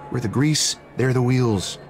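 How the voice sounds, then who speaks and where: A man's voice: medium pitch, unhurried, lightly acted. An American man acting as an upbeat, polished district attorney running for mayor in a video game. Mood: coaxing, earnest. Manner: polished, jovial speechmaking. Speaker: politician campaigning for mayor. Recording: music behind the voice.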